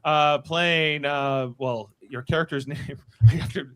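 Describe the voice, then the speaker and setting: A man hosting a casual cast wrap-up after a podcast read, talking in a halting, rambling way. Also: on the high side, steady tone. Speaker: podcast host and cast member.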